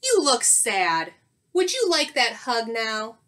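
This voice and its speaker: A woman's voice: fairly high pitch, huge pitch swings, takes it slowly, a bit bright, exaggerated voice. A woman voicing a cheerful, kindly male bear who loves hugs in a children's storybook, with a friendly, soothing, sing-song manner. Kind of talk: children's storybook read-aloud.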